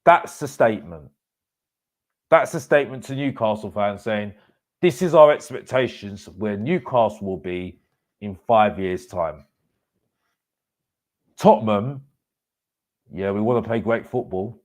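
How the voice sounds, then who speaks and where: A man hosting a live football fan reaction show, giving casual opinions in an emphatic way, repeating phrases for effect and often breaking sentences up haltingly. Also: fairly low pitch, lively intonation, a little mellow.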